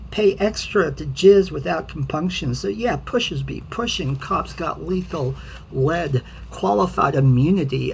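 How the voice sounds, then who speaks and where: A man, an author who reads his own poetry and talks to viewers, with a gravelly, creaky voice. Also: mid-range voice. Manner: hesitant, self-deprecating when talking to viewers. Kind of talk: dramatic poetry reading, then casual rambling talk to viewers. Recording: clearly noisy amateur home recording.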